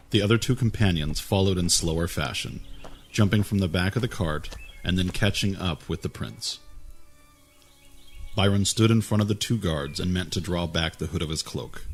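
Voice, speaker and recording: A man narrating a fantasy audio drama with a steady, even storytelling manner. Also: low voice.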